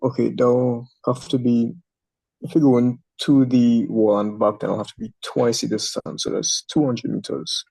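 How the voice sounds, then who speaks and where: A male student answering a teacher's questions in class, giving short answers and reasoning through a calculation out loud. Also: medium pitch, flat delivery, normal speed, gravelly, husky, dry recording, poor audio.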